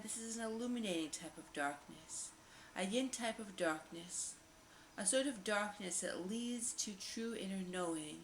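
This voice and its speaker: A woman leads a guided visualization at a medium pitch, lingering over phrases and repeating them soothingly. Her voice rings with noticeable room echo, and a faint layer of noise sits behind it. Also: fairly bright tone. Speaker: guided meditation host. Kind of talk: guided meditation visualization narration.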